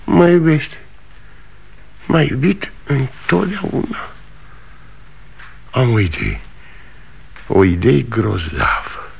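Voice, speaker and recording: An actor in his sixties playing an aging, proud, desperate traveling salesman, with a husky, gravelly voice and declamatory delivery in a radio play. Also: mid-range voice, big pitch swings, pauses often, heavily acted. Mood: brooding. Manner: declamatory, swinging between bluster and wonder. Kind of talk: 1960 radio theatre acting.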